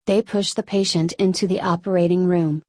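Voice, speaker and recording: A woman narrates a scripted story in the first person. Her voice sits a little on the low side for a woman. The sound is dry, with very little room in it. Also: fairly even intonation, normal speed, a little mellow, no room sound.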